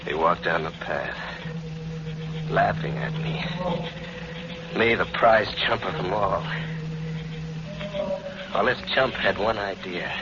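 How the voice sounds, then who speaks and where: A man's voice: sits low, slow, long pauses. An actor playing a bitter, betrayed man turned vengeful killer in a sardonic, hard-boiled 1940s radio crime drama. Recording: dull, noisy old radio recording.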